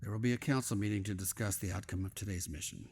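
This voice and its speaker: A man's voice: fairly low pitch, fairly even intonation, fast talker, darkish tone, lightly acted. A man playing a stern military admiral, speaking with clipped authority in a dramatic scripted scene. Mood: grave, probing. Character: stern, commanding male admiral running covert operations.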